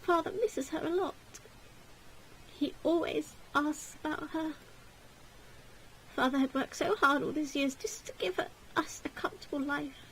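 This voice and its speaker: A woman's voice: sits high, a little slow, pauses often, a little hiss or hum, voice pushed up, rough recording. A woman voicing a bitter, hot-tempered young woman in a visual novel, indignant and sarcastic, with emphatic delivery. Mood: aggrieved.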